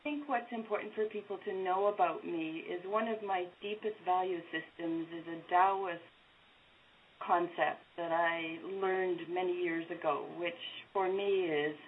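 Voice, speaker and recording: A woman introducing herself in a measured, reflective way on an online group call. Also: fairly low pitch, steady tone. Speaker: group participant. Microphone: video call. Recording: tinny call audio.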